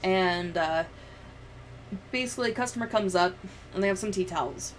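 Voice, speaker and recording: A woman's voice: mid-range voice, normal speed, a little hiss or hum. A woman livestreamer chatting and telling stories in a casual, sweary, giggly way. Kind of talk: casual livestream chat, storytelling.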